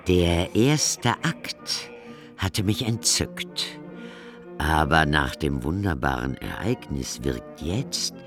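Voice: rumbling low, lively intonation, full character voice. A performer with a male-sounding voice playing the enraptured, solemn narrator of a Romantic tale in a radio play. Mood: rapt, dreamy. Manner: slow, rapt, deliberate phrasing. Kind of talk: literary reading in a radio play. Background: music.

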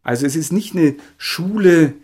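A man's voice: on the high side, moderate pace, darkish tone, husky. A man, a researcher, explaining off the cuff in an interview and searching for his words. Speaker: literature researcher and translator, interviewee.

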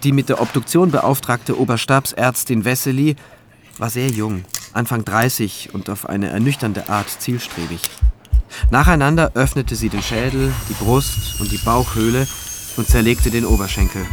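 An actor playing a thoughtful, conscientious male prosecutor in a radio drama, with a measured, introspective manner. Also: mid-range voice, slight background noise, lightly acted. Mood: pensive, sober. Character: pensive, conscientious male public prosecutor narrating his investigation. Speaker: lead actor and first-person narrator. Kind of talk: radio drama narration and dialogue.

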